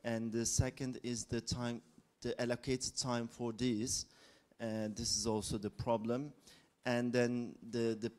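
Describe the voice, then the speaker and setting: A high school biology teacher asking a question from the audience, hesitant and searching for words. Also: male voice, measured pace. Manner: hesitant, flat delivery. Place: conference hall.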